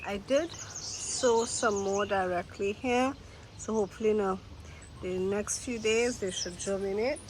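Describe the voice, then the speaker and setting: Woman vlogger, nasal from a cold, slow and easygoing; outdoor take with clear birdsong behind. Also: medium pitch, a little mellow, a little hiss or hum, poor audio. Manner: slow, unhurried, pointing out plants one by one. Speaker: gardening vlogger. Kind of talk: casual garden tour narration. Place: outdoor garden. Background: birds singing.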